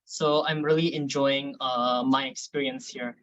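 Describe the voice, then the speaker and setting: A young man who is an academy coach introducing himself on a video call, halting with many fillers. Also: medium pitch, steady tone, fairly bright tone, dry recording. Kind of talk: online meeting introduction. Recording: dull dry video call audio.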